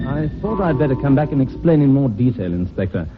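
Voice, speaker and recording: An actor playing an anxious, politely hesitant estranged husband under suspicion in an old-time radio drama. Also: male voice, medium pitch, big pitch swings, a little fast, close to an everyday voice. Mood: worried, questioning. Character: anxious estranged husband suspected of his wife's murder. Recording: tinny, hissy old radio recording.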